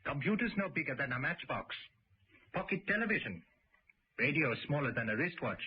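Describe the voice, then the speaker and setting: A man's voice: on the high side, very animated, brisk, slightly rough, heavily acted. An actor playing a shrewd Japanese businessman in a radio drama, polite, with stilted broken English and emphatic phrasing. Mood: courteous, businesslike. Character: courteous, shrewd Japanese corporate executive. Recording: muffled, slightly noisy vintage radio recording.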